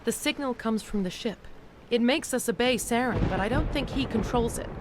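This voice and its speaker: A woman's voice: fairly high pitch, moderate pace, background noise, close to an everyday voice. An actress playing a frightened, pleading neurospecialist in a video game, earnest and anxious as she explains herself. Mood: uneasy, explaining. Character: frightened, pleading female neurospecialist working for a villain. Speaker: scientist character. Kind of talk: dramatic video game acting.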